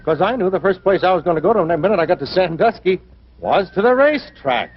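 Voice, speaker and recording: Regretful middle-aged horse man, folksy rambling narration, fairly high voice, noisy vintage TV sound. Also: very animated, quick pace. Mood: reminiscent. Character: regretful middle-aged racetrack man looking back on youth. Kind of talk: 1950s television drama narration. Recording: dull old TV soundtrack, noisy.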